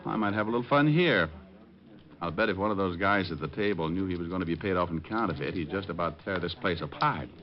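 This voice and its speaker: An American actor playing a tough, wry, wisecracking private detective in an old-time radio drama. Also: male voice, sits low, lively intonation, fast. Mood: cool, mocking. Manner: wry, hard-boiled, wisecracking. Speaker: lead actor and first-person narrator. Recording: muffled vintage radio, music behind.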